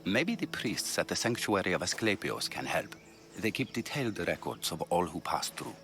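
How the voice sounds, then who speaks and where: Ancient Greek physician, very low voice, lively intonation, earnest lecturer, dedicated, video game drama. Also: male voice, moderate pace, soft background music, heavily acted. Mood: urgent, grave.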